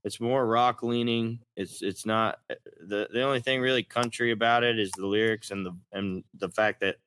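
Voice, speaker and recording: Bright, clear Texan man chatting casually. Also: on the low side, steady tone, moderate pace, no echo. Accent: Texas. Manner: folksy, plain-spoken, mildly profane. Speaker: country rock singer and songwriter being interviewed. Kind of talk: casual interview chat.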